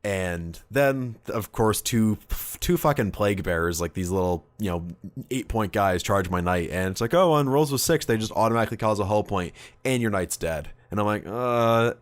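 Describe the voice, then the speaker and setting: A man's voice: fairly low pitch, lively intonation, normal speed, no room sound. A man in casual conversation telling stories as a podcast host, with a profane, wry, self-deprecating manner. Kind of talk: casual chat recounting tabletop wargame battles.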